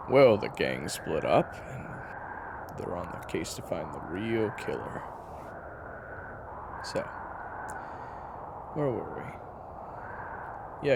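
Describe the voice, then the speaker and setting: A man's voice: medium pitch, background noise. A man playing a brooding, grumpy but caring hunter in an audio roleplay, speaking in a wry, exasperated, conversational way. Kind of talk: intimate audio roleplay acting and storytelling.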